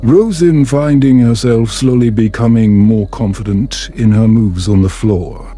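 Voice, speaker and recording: Slow, mellow male bedtime storyteller. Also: medium pitch. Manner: slow, soothing, measured delivery. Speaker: storyteller narrator. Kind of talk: calm scripted bedtime story narration. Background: music.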